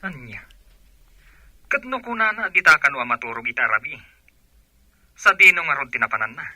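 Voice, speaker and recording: A man's voice: a little high, big pitch swings, normal speed, sharp tone, no room sound, heavily acted. A man with an Ilocano Filipino accent acting a suspicious, jealous husband in a radio drama. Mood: stern.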